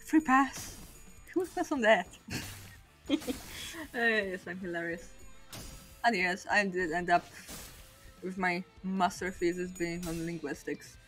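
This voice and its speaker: Polish accented young woman streaming a game, rambly, pokes fun at herself, soft music underneath. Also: on the low side, measured pace, long pauses. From Poland. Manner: rambling, self-mocking, laughs often between thoughts. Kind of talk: casual chat over gameplay.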